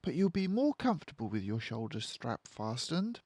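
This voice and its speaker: A man's voice: mid-range voice, big pitch swings, normal speed, pitched above the performer's own voice, natural voice. A man voicing a sweet, eager and affectionate little girl in a storybook, with an earnest lilt. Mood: tender. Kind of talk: storybook character voice.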